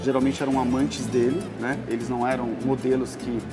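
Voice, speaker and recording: An interviewee explains things informally in a medium-pitched voice. Loud guitar music plays behind the talk. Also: level tone, a little fast.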